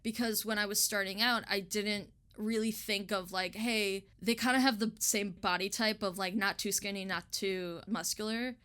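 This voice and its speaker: A woman's voice: mid-range voice, steady tone, normal speed, few pauses, sharp tone. Casual, giggly woman in an interview. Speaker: illustrator being interviewed.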